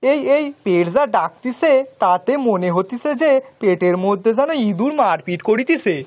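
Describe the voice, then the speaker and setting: A woman's voice: mid-range voice, expressive, normal speed, darkish tone, natural voice. A woman voicing a simple, kind-hearted village man in a children's cartoon, earnest and folksy. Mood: worried.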